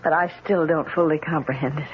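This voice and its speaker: A woman's voice: sits low, fairly even intonation, brisk, close to an everyday voice. An actress playing a kindly, emotional middle-aged lady in an old-time radio drama, speaking in a genteel, hesitant, effusive way. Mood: anxious, hesitant. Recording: muffled, hissy old radio recording.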